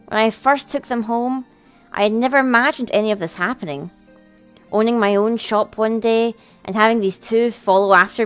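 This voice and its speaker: A woman hosting a let's play, reading a visual novel aloud with casual commentary. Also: fairly high pitch. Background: game music.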